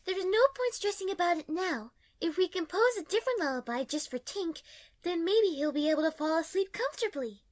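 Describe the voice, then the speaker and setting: A woman voicing a gentle, caring female pony who looks after animals, soothing and patient, in a fan audio drama. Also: very high-pitched, animated, a little fast. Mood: encouraging. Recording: music under the voice.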